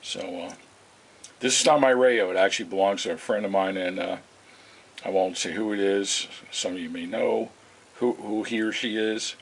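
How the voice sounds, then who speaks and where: A man giving a casual, unscripted demonstration as a video host, speaking in a halting, rambling way. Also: on the low side, creaky. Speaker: video host demonstrating vintage radio repair. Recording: hissy, noisy amateur home video.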